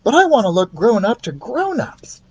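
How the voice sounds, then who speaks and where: A man's voice: sits high, wildly swooping pitch, moderate pace, breathy edge, pitched above the performer's own voice, full character voice. A man voicing a friendly, candid young boy in a storybook, speaking in an earnest, confiding way. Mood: impatient.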